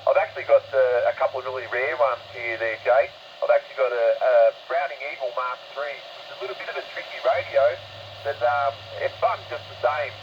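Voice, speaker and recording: A man's voice: mid-range voice, fairly even intonation, brisk. An Australian man chatting casually as an amateur radio operator over a two-way radio, in a laid-back, hesitant, rambling way. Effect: two-way radio transmission. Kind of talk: casual radio contact chat. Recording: muffled, noisy shortwave radio reception.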